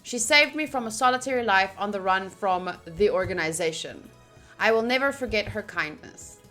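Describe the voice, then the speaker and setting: A woman streamer reading a visual novel's narration aloud during a playthrough, with expressive, gushing asides. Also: medium pitch, moderate pace, faint music behind. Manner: expressive, gushing asides between read passages.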